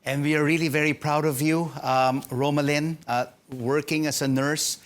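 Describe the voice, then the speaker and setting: A male news anchor in a TV studio, asking measured interview questions with frequent ums. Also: on the high side, level tone. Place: television studio.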